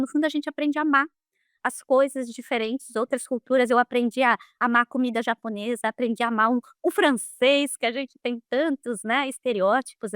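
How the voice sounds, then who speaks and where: Lively Brazilian woman, fairly high voice. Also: clean tone, no room sound, studio quality. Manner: lively, animated, quick to laugh, frequent "né?" tags. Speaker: guest, HR leader. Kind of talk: casual interview conversation.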